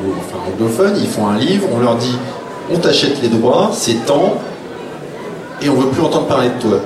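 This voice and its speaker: A man of average pitch talks informally as a panel guest, blunt and wry. He tells anecdotes and voices other people's remarks. The live recording is roomy, with clear noise around him. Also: far from the mic. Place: public round table in a room.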